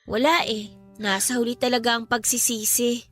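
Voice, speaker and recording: A high, bright young female voice , an actress at work plays a devout sister in mourning. She speaks earnestly and reassuringly, in the manner of a Filipino radio drama, with music underneath. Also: flat delivery, normal speed, close to an everyday voice. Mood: wistful, reflective. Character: grieving, devout younger sister consoling her older friend.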